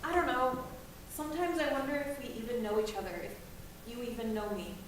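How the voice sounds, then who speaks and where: A young woman playing a guarded, anxious grown daughter who talks in halting, hesitant bursts with her mother. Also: on the high side, natural voice. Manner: hesitant, halting, then heated. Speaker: college student. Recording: echoey room, distant mic, noisy ambience.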